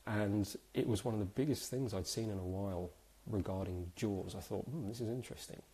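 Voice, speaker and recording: A man in his thirties, a little hoarse from a cold, hosting a live video interview in a chatty, upbeat way with many fillers. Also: on the low side, brisk, dark tone, rough recording. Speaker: host. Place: indoors, small room.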